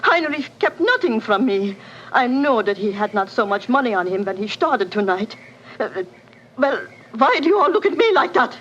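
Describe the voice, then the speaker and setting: Distraught widow in old radio drama, swooping voice. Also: female voice, a little high, very animated, moderate pace, a bit bright. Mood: bewildered, distressed. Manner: anguished, pleading. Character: distraught, accusing new widow of a ruined gambler. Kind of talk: 1940s radio drama acting. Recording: muffled, noisy 1940s radio recording.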